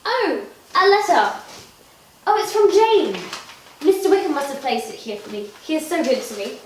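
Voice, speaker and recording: A woman's voice: high-pitched, sing-song, normal speed. A woman playing a vain, giddy, petulant young Regency wife in a theatrical monologue, with affected, genteel, dramatic delivery. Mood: excited, dismissive. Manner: affected, dramatic, genteel old-fashioned phrasing. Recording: echoey room, distant mic, faint noise.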